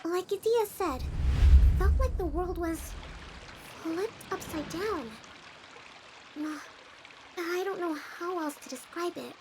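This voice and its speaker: Level-headed young female strategist for a game, very high, encouraging, with ambient noise behind. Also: full character voice. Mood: thoughtful. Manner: measured, encouraging. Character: gentle, level-headed young woman leader. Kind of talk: video game voice acting.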